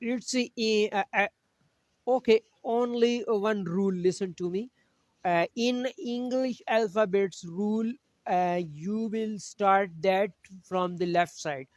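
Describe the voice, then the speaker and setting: A man from Pakistan whose first language is Urdu, teaching a Quran lesson over a video call in halting English with many pauses. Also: high voice, no room sound. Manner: halting English with frequent pauses and throat-clearing.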